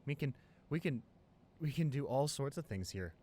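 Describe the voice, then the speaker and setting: A man playing an excited young college boyfriend in an improvised ASMR roleplay, gushing and halting, repeating himself in sentimental amazement. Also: medium pitch, husky. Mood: amazed.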